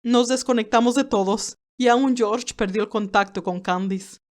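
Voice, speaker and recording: A woman's voice: on the high side, moderate pace, lightly acted. A woman playing a stern, haughty elderly aristocratic great-aunt who tells her story formally and dramatically, with anguish and resentment. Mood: anguished, worried. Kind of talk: first-person dramatized narration.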